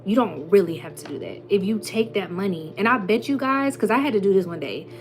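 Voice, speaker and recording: A young woman giving a casual, emphatic motivational talk to her viewers, full of slang and little acted-out voices, with soft music playing underneath. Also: medium pitch, expressive, fairly quick. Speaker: spiritual content creator.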